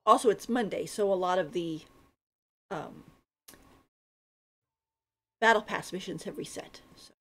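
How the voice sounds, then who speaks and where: A woman's voice: lowish voice, measured pace, long pauses, fairly bright tone, slight background noise. A woman giving casual live commentary as she plays a game, giggly and thinking aloud. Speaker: game streamer. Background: game music.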